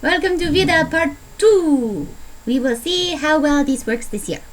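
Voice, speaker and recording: A woman's voice: sits high, lively intonation, measured pace, a little hiss or hum. A young adult woman vlogging in a put-on Italian accent, rambling playfully with a self-deprecating manner. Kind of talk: casual rambling video diary.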